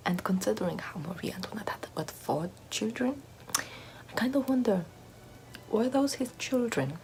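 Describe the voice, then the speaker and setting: A woman's voice: medium pitch, lively intonation, normal speed, darkish tone, a little hiss or hum, lightly acted, poor audio. A woman whispering as a vain, pompous aristocratic lady doing makeup in an ASMR roleplay, in a haughty, self-admiring, gossipy way.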